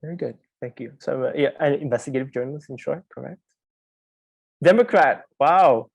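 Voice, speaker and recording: A man's voice: mid-range voice, normal speed, creaky, husky, no room sound, lo-fi sound. A man with a Malaysian accent giving an informal, conversational webinar presentation, prompting his listeners as he speaks.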